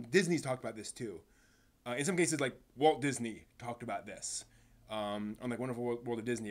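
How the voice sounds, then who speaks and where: A man hosting a casual live stream, speaking in a halting, rambling way and stumbling over his words, sounding somewhat tired. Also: medium pitch. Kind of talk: casual live stream chat, answering chat questions.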